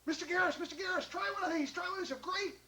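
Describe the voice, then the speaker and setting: A storyteller voicing an eager, excitable baker-farmer man who pleads and repeats himself. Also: very high voice, very fast, creaky, slight background noise, pitched above the performer's own voice, full character voice. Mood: excited, pleading.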